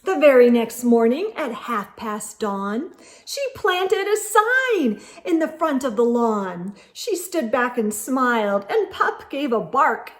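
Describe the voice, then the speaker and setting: A woman storytime host reading a picture book aloud to children, expressive and emphatic, speaking directly to young listeners. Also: on the high side, takes it slowly.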